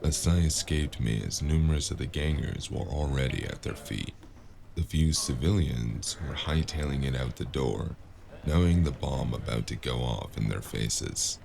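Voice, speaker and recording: A man's voice: very deep voice, moderate pace, vocal fry, darkish tone, slight background noise. A man playing a wry, world-weary drifter who narrates a cyberpunk noir audio drama in a sardonic way. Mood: tense narration. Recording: music behind the voice.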